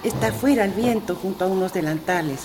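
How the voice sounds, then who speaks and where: A woman acting in a radio drama as a kindly but firm old village midwife, unhurried and gently scolding. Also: mid-range voice, close to an everyday voice. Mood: calm, homely. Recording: background noise and ambience.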